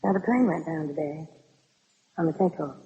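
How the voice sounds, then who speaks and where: An actress playing a kind, weary young American army flight nurse in a 1950s radio drama, reassuring and wry. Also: female voice, low-pitched, animated, moderate pace, dark tone, big-room sound, lo-fi sound. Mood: reflective, weary. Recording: old radio broadcast, thin and hollow.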